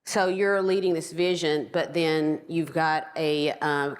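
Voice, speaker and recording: A woman moderating a panel, asking questions in a halting way with restarts. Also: deep voice, fairly even intonation. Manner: halting, many fillers and restarts. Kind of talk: panel conversation.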